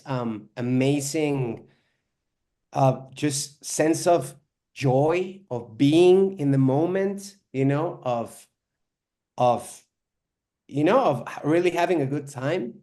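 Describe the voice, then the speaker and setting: A man's voice: on the high side, slow pace, a little mellow. A young man born in Spain, a filmmaker, talking in a rambling way full of "you know" and "like" in an online interview. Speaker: filmmaker guest.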